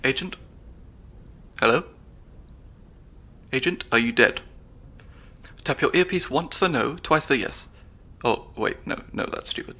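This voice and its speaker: A man's voice: mid-range voice, lively intonation, a little slow, long pauses, full character voice. A young man voicing a nerdy, slightly anxious stand-in spy handler with dry wit, in an ASMR audio roleplay. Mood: flustered. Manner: analytical, dry wit, soft-spoken.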